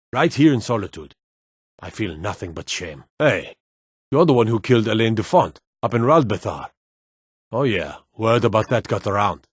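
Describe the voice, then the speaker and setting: A man's voice: on the low side, slightly rough, no echo, clean studio sound. An actor playing a wary, plainspoken male city guard in a fantasy video game, with a stern, folksy way of talking. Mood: grave, then ashamed, then accusing. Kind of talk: scripted fantasy game dialogue.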